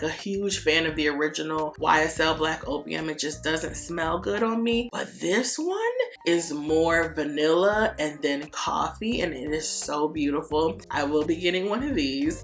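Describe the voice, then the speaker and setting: A woman with a low, raspy voice and a gravelly edge talks through her favorite beauty picks like she's chatting with a friend. She is warm and enthusiastic. Soft music plays faintly underneath. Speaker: beauty vlogger. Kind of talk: casual product recommendations.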